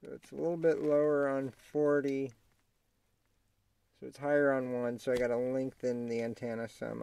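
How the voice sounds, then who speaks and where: A man talking through a hands-on tutorial in a casual, halting way, thinking aloud as he works. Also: medium pitch, darkish tone. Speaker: amateur how-to demonstrator. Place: inside a parked vehicle. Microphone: handheld camera mic. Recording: clearly noisy amateur camera audio, clicks.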